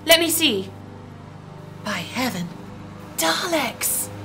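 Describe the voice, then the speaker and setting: Woman acting dry, cautious adventuring companion, higher-pitched. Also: very animated, takes it slowly, loud music behind the voice, heavily acted. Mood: alarmed, puzzled. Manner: dry, formal, precise. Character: dry-witted, cautious female companion of a time traveller. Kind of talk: audio drama acting.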